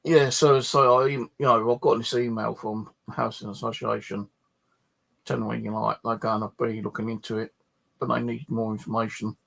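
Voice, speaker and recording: A man's voice: mid-range voice, little pitch movement, normal speed, vocal fry, a bit bright. A man chatting casually and ramblingly about his own life, grumbling and swearing in a colloquial way. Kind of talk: casual rambling personal chat.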